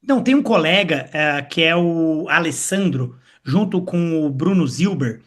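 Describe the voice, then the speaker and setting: A man's voice: a little high, expressive, measured pace, a bit bright. A man in a mid-range voice hosting a live online question-and-answer session as a professor. He answers in a friendly, encouraging way and offers help. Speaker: host and professor.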